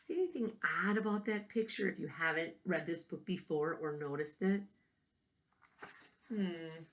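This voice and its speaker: A woman reading a picture book aloud to children, pausing to explain and ask them questions. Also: on the low side. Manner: questioning, explains to young listeners. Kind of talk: read-aloud storytelling with asides and questions to children.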